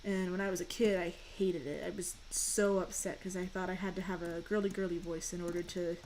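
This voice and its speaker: A young woman with a fairly deep voice, chatting casually and rambling while she streams a game and answers questions from chat. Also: steady tone, fairly quick, a little mellow, slight background noise, rough recording.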